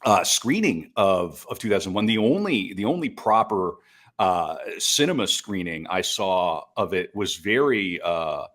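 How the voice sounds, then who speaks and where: A man's voice: low voice, sing-song, measured pace, a bit bright. A middle-aged American man from North Dakota telling stories casually and enthusiastically on a podcast, rambling as he goes. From North Dakota, United States. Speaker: podcast speaker. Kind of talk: casual conversational storytelling.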